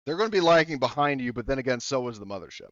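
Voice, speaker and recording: A man's voice: medium pitch, lively intonation, very fast, fairly bright tone, no room sound. A man acting as game master, talking casually with lots of fillers and restarts. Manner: casual, rambling with many fillers. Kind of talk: running a tabletop roleplaying game. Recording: dry, slightly dull lo-fi audio.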